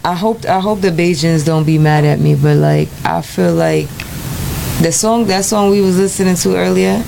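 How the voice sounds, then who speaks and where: A woman's voice: on the low side, moderate pace, gravelly, noisy background. A woman hosting a live radio show in casual chat, laid-back and rambling with frequent restarts. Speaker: radio host.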